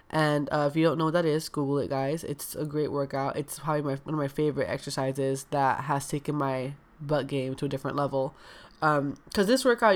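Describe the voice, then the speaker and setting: A young woman wellness coach talking casually and frankly, with a stuffed-up nose, full of "like" and "um". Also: sits low. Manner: chatty, frank, heavy use of "like" and "um". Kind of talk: casual podcast talk.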